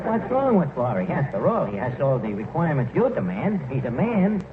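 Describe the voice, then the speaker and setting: An actor playing a pompous, malaprop tavern manager with a New York accent, in a 1940s radio comedy style. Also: male voice, mid-range voice, big pitch swings, normal speed, over a noisy crowd, natural voice. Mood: pompous, self-assured. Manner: mangles grammar and big words with pompous confidence. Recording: noisy, tinny 1940s radio broadcast, roomy.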